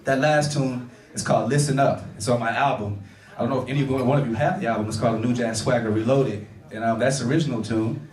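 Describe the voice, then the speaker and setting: A man's voice: medium pitch, fast. A man from Detroit, a jazz horn player, chatting casually with the audience between songs, his voice a little worn. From Detroit, Michigan. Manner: relaxed, chatty, asks the crowd questions. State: losing his voice. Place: live club show with audience. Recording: roomy live club recording from a distance, crowd noise.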